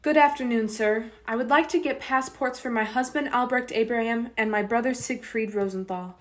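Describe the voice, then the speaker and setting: A woman's voice: a little high, moderate pace, natural voice. A woman playing a polite but desperate young wife and mother in a radio play, earnest and pleading. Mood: subdued.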